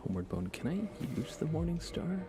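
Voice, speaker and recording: A man doing relaxed ASMR game commentary, casually rambling and thinking aloud as he plays. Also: fairly high pitch, a little fast, music in the background. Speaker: livestreamer.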